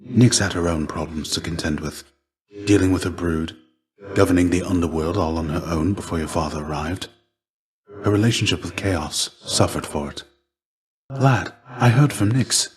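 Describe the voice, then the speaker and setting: An actor playing the ghost of a fallen Greek warrior in a video game, a gentle, fatherly mentor with measured, formal, old-fashioned phrasing. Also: low-pitched, natural voice. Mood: thoughtful. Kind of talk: video game character dialogue.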